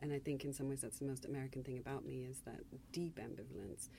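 A woman novelist from Zambia speaks in a low voice. She is measured and reflective and restarts her phrases as she builds her thoughts. The panel is recorded with faint background noise. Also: steady tone, vocal fry, mellow. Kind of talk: panel discussion.

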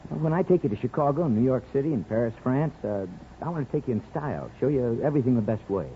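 Actor playing an earnest small-town barber, lively voice. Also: male voice, mid-range voice, fast, slight background noise, lightly acted. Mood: soothing. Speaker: actor and first-person narrator. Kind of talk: 1950s radio drama acting. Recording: dull 1950s radio recording with music.

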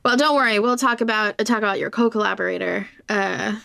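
A woman's voice: medium pitch, fast talker, creaky, bright, forceful tone, no room sound, clean studio sound. A woman hosting a casual interview, informal and reactive, feeling her way through her questions. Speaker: podcast interviewer.

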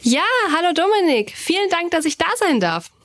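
A woman's voice: extremely high pitch, very animated, normal speed, a bit bright. A woman co-hosting a podcast in casual conversation. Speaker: podcast co-host.

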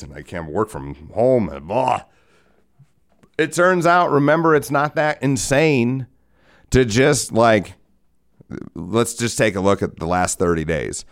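A man in his forties hosting a solo podcast, hoarse from a head cold, rambling and cursing with lots of restarts. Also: fairly low pitch. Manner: rambling, profane, self-mocking, frequent restarts. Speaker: podcast host. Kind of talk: solo casual podcast talk.

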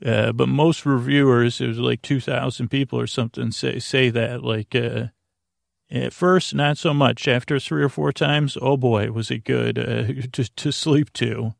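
A man with a creaky voice hosting a sleep podcast, rambling soothingly and haltingly in a friendly bedtime monologue, repeating himself and trailing off mid-thought. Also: medium pitch, fairly even intonation, dry recording, studio quality. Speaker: podcast host.

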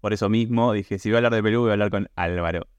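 A man with an Argentine accent and a fairly low, clean, smooth voice. He hosts a conversational podcast in an informal, chatty way, with phrasing that circles around. The sound is close and dry. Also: steady tone, very fast, no echo, lo-fi sound. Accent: Argentine Spanish. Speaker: podcast host interviewer.

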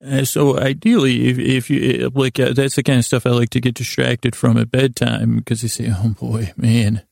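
A man hosting a bedtime podcast in a meandering, halting monologue that keeps interrupting itself, lighthearted and self-deprecating, with a gravelly voice. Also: medium pitch, level tone, a little mellow, no echo, studio quality. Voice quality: gravelly, creaky. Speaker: podcast host.

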